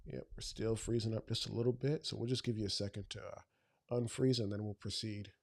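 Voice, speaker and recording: A man hosting a casual podcast interview, a bit stuffy from a flu, halting and repeating words as he asks questions. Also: on the low side, fairly even intonation, creaky, darkish tone, no room sound, studio quality. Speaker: podcast host.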